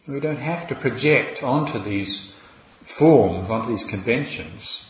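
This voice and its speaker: A man with a New Zealand accent, a Buddhist monk giving a gentle, reflective dharma talk with wry asides. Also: mid-range voice, animated, a little slow. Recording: roomy, slightly dull recording with faint ambience.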